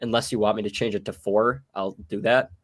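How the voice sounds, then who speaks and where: A man's voice: fairly low pitch, fairly even intonation, brisk. A young man hosting a casual podcast chat, sounding sick and worn, steering the talk in an easygoing way. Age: young adult. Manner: easygoing, runs the segment. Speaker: podcast host.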